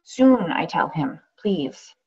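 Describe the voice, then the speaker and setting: An actress plays a housebound injured woman telling her own story with sarcasm and exasperation. Her voice is husky and swings expressively in pitch. The monologue comes through slightly dull, lo-fi sound. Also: sing-song, takes it slowly, exaggerated voice. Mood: wry, softening. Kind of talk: dramatic monologue reading.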